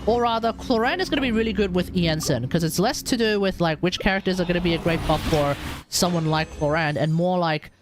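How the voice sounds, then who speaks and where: A man's voice: sits high, a little fast, noisy background. A man doing casual livestream game commentary, rambling and thinking aloud over game music. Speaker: game streamer. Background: video game music.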